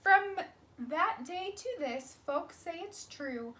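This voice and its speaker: A woman teacher reading a children's storybook aloud in an expressive, emphatic way. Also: very high voice, slow, slight background noise.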